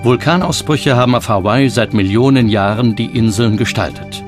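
A man reading scripted documentary narration in a measured, explanatory manner. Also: mid-range voice. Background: background music.

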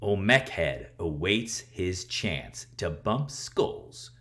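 A man in his thirties reading a picture book aloud and explaining things to children in a theatrical, expressive way. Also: sits low, drawn-out words. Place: small room at home, indoors.

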